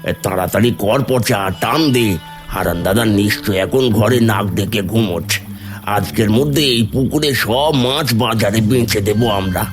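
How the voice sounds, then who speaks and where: Man acting low, resentful scheming villager. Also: measured pace, over music, close to an everyday voice. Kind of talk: Bengali animated village story dialogue.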